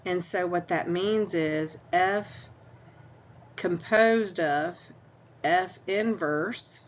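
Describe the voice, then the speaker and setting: Female math instructor, lower register, unhurried, stuffy-nosed; dull lo-fi lecture audio. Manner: patient, explains step by step. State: stuffy nose, clearing throat. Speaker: math teacher. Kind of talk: recorded lecture. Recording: dull lo-fi audio with faint hum.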